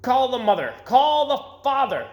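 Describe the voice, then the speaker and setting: A man's voice: extremely high pitch, sing-song, measured pace, a little mellow, voice pushed up, full character voice. A man voicing a bossy female school principal from a picture book, exclaiming in an exaggerated way. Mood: exasperated, yelling. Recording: roomy, echoing sound.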